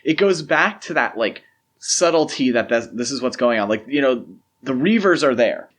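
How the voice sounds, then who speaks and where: A man's voice: mid-range voice, lively intonation, moderate pace, fairly bright tone. A man co-hosting a podcast, discussing films in a casual, conversational and opinionated way. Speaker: podcast co-host.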